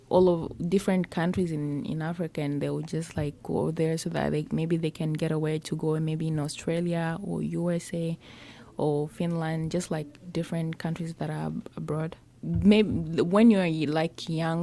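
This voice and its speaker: A teenage girl from Congo, a high school student, telling her own story in an interview, hesitant and full of "like" fillers. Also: darkish tone. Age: teens. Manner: hesitant, with frequent "like" fillers and restarts.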